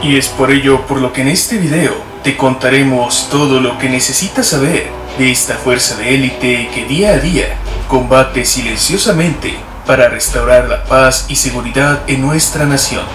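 A man's voice: medium pitch, moderate pace. A man reading a scripted documentary narration in a grave, formal manner. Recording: roomy, distant, played back with music.

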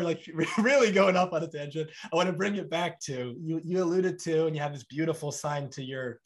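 A man in his thirties hosting a podcast over a video call, talking animatedly and acting out little back-and-forth dialogues. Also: a little high. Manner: animated, gestures broadly, acts out both sides of dialogues. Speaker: podcast host. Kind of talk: conversational interview. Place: bright home room.

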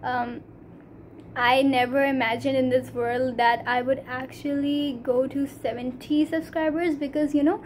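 A teenage girl from Pakistan with a Pakistani English accent, Urdu her first language, talking earnestly to a phone camera in a small room, halting, with many fillers. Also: sits high, little pitch movement, slight background noise. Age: teens. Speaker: vlogger. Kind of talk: casual talk to camera. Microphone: phone camera at arm's length.

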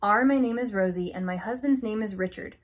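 A young woman reading a children's alphabet picture book aloud, slow and deliberate, stressing each opening letter, in a small room. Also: mid-range voice. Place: small room indoors by a curtained window.

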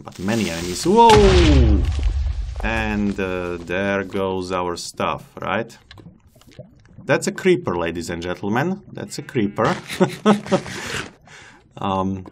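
This is a man with a lowish voice, speaking English as a second language, who talks slowly and casually through a game session. He chats to the animals he meets and laughs at himself. A faint hiss sits under the desk-mic recording. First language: not English. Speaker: let's play host. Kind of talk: casual gameplay commentary.

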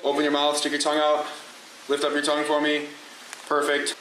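A man's voice: fairly high pitch, monotone, brisk, background noise. A man, a police officer giving procedural questions and orders outdoors into a body camera. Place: outdoors on a street at night. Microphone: body-worn camera. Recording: body camera on a night street, music behind.

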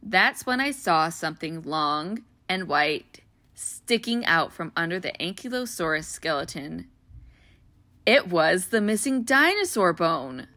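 A woman with a bright, clear voice reads a children's picture book aloud, gentle and expressive for young listeners. The sound is close and dry, with no room around it. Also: lowish voice, unhurried, no echo. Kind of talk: storybook read-aloud.

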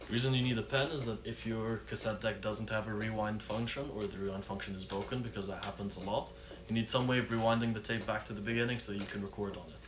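A young man with a fairly low voice talks through a how-to in an informal, laid-back way. He is recorded in a roomy-sounding home space, with loud music playing along. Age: young adult. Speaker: musician giving a tutorial. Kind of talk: casual how-to demonstration. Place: small home room with desk, indoors.

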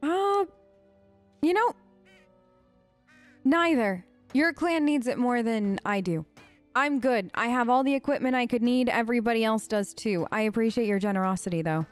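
A woman's voice: a little high, moderate pace, dry recording, soft background music. Female let's play host, casual earnest role-play, dry close mic. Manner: informal, earnest, empathetic. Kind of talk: casual role-play game commentary, talking in character.

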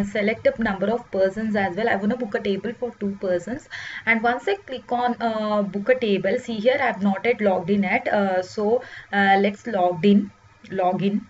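A woman presenting a food ordering web app, explaining each step with many "uh" pauses. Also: medium pitch. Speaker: software demo presenter. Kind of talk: screen-recorded product walkthrough. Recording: dull lo-fi voice-over with faint hiss.

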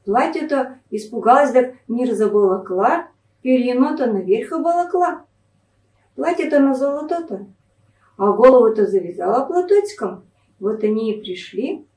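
A woman reads a folk tale in Northern Russian Pinega dialect. Her voice is high and swings widely in pitch. She is recorded from a distance in an echoey room. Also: expressive, moderate pace, a little mellow. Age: middle-aged. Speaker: library storyteller reading a folk tale. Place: small library room, indoors.

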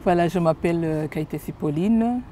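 A middle-aged woman from Rwanda giving a personal testimony in an outdoor interview, speaking hesitantly with frequent pauses and fillers. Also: fairly low pitch, mellow, a little hiss or hum. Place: park lawn outdoors.

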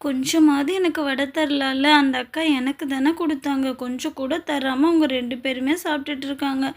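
A woman playing a meek, mistreated young stepdaughter, speaking softly and plaintively in an amateur family drama. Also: fairly high pitch, a little fast, natural voice. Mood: sad, wistful. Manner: soft, plaintive, timid.

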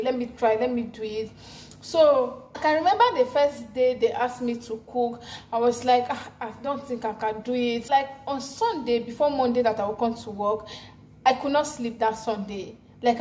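A woman's voice: fairly high pitch, warm tone, a little hiss or hum. A young woman, chatting to camera while she cooks in her home kitchen. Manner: chatty, talks straight to camera. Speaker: vlogger. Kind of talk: casual chat while cooking. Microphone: phone camera at arm's length. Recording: echoey tiled kitchen.

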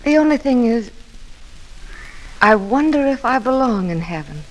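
A woman's voice: on the high side, expressive, measured pace. An actress playing a proud, passionate, torn young woman of the moors in a theatrical 1940s radio drama style. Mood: anguished, yearning. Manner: theatrical, impassioned. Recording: noisy old radio recording.

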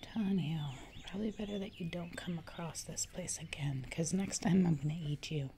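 A young woman voicing a casually menacing jungle giantess in a soft, chatty whispered ASMR roleplay, close to the microphone. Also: lowish voice, slight background noise, natural voice. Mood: politely menacing. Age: young adult. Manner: soft, slow, repetitive, chatty. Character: casually menacing female jungle giantess who eats people.

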